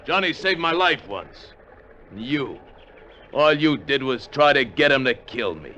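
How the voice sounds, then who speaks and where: Sharp-voiced, hard-bitten armed adventurer. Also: male voice, medium pitch, very animated, measured pace. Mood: threatening. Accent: American. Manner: tough, terse, commanding. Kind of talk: 1950s radio drama acting. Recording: noisy vintage 1950 radio recording.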